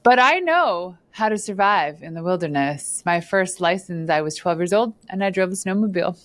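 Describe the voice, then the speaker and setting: Woman, podcast host, low voice, dry close sound, chatty, laughs a lot. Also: animated, moderate pace, no echo. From Northern Ontario, Canada. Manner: chatty, laughs often, many fillers. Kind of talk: casual interview chat.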